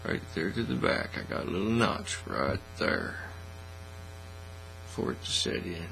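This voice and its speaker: Gravelly, low-voiced man giving a tutorial. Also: very animated, pushed and bright, poor audio. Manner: folksy, unhurried step-by-step talk. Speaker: hobby craft tutorial host. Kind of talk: casual how-to demonstration. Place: indoor hobby workbench. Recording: faint mains hum and noise.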